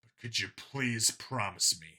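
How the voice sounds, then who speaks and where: Man voicing a guarded, low-pitched earnest cop. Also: unhurried, bright, forceful tone, slightly rough, full character voice. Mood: subdued, pleading. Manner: subdued, hesitant. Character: guarded, earnest male cop in science fiction. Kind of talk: audiobook character dialogue.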